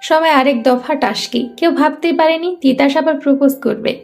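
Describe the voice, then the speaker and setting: A woman's voice: sits high, fairly quick, creaky, soft background music. A woman narrating a scripted romantic story.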